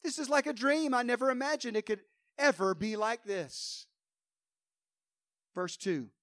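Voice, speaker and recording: A pastor preaching a fervent sermon, quoting scripture with rising emphasis, his voice a little hoarse. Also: very high voice, unhurried, a bit bright, no echo, clean studio sound.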